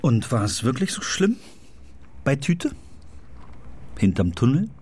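A woman playing a patient adult questioner in a children's radio play, with a husky voice and short probing questions. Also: rumbling low, big pitch swings, slow pace, darkish tone, full character voice.

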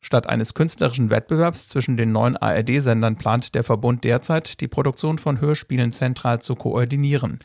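A man narrating a scripted radio documentary in a measured, factual way. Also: medium pitch, creaky, a little mellow, no room sound, clean studio sound.

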